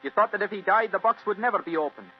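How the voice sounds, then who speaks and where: A man's voice: high voice, fast talker, a little hiss or hum, lightly acted. An actor playing an earnest, hot-headed young man in 1940s radio drama style. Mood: defiant, accusing. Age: young adult. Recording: dull, humming old radio recording.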